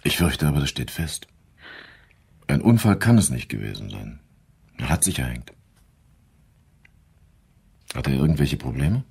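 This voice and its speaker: An actor playing a calm, probing police inspector in a German crime radio play, measured and matter-of-fact. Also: male voice, rumbling low, gravelly, husky, full character voice. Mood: businesslike, probing. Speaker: actor in an audio drama.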